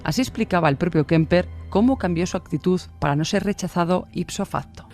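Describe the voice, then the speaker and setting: A male narrator with a slightly high voice and a Castilian Spanish accent tells a true-crime story in scripted radio style. Faint music plays underneath. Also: a little fast, a little mellow. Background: music bed.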